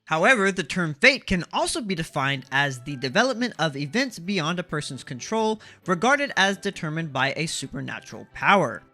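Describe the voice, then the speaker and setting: A man presents a scripted video essay in a bright, forward voice. His explanatory delivery is lively and emphatic, with faint music underneath. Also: sits high. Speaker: host of a video game analysis series. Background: background music.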